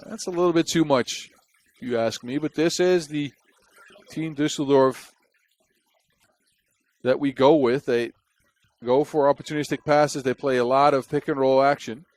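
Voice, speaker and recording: A man with a Dutch accent gives play-by-play commentary on a basketball game at an ordinary middle pitch. He talks in a chatty, wry way and slips jokes in between the action. His voice sounds dry and close to the mic. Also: steady tone, moderate pace, long pauses, a little mellow, no echo. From Netherlands.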